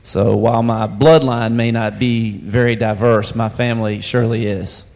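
A man's voice: fairly low pitch, steady tone, moderate pace, creaky, darkish tone. A man from Virginia's Shenandoah Valley, a basketball coach, speaking plainly on a church panel. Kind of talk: personal testimony. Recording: hall reverb.

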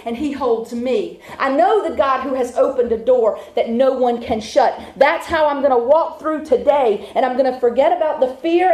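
A woman's voice: high voice, a little mellow. A woman preaching a sermon to a congregation, building her points with rhythmic repetition. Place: church with a congregation. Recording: echoey room.

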